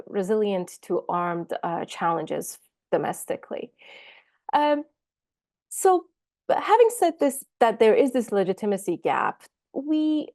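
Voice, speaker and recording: A woman's voice: medium pitch, dark tone. A woman researcher presenting on a webinar panel, speaking with a cold and many hesitant fillers over a video call. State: has a cold.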